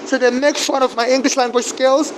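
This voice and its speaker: A man from Nigeria with a Nigerian accent, whose first language is not English, giving a personal account in a halting way, repeating words and restarting. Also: very high voice, little pitch movement, a little hiss or hum, poor audio.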